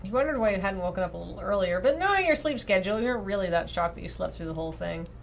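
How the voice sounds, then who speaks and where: A woman reading a visual novel aloud on a livestream in an expressive, casual way, often breaking off into playful asides. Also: medium pitch, very fast, creaky, slight background noise.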